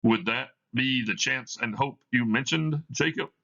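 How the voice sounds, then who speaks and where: A man's voice: mid-range voice, unhurried, vocal fry, sharp tone, dull audio, pitched above the performer's own voice. A man voicing a frightened old miser, nervous, hesitant and pleading, in a theatrical reading of a play.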